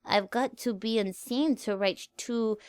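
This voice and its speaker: A woman reading fan fiction aloud as an expressive story narrator. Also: a little high, measured pace, clear, resonant tone, no room sound, clean studio sound.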